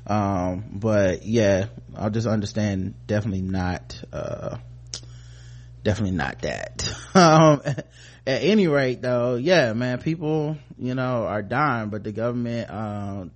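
Halting, informal American man co-hosting a podcast. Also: lowish voice, animated. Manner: informal, halting, often trailing off mid-thought. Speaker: podcast co-host. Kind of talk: casual news discussion, partly reading articles.

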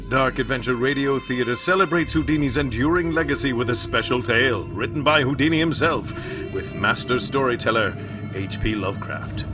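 A man plays a vintage radio host and pitchman with a mid-range voice. His delivery is polished, deliberate and dramatic, and music plays clearly behind him. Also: close to an everyday voice. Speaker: host and narrator. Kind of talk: 1930s radio narration.

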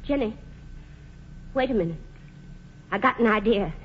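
A woman's voice: medium pitch, lively intonation, normal speed, close to an everyday voice. An actress playing a shrewd, plucky small-town mother in a radio play, speaking in a folksy, firm way. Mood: urgent, resolved. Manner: folksy, plain-spoken, firm. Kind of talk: 1940s radio play acting. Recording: muffled, noisy 1940s radio recording.

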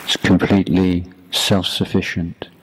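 A man guiding a meditation as a teacher, speaking in a measured, deliberate way in short phrases with long pauses. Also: deep voice, level tone. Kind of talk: guided meditation talk. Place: youth retreat. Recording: clearly noisy background.

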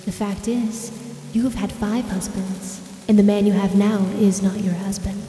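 Stern female scripture reciter acted, monotone and grave, echoing, for audio horror. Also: medium pitch, normal speed, a little hiss or hum, natural voice. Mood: grave, condemning. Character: stern woman intoning scripture as condemnation. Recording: echoey hall with long reverb.

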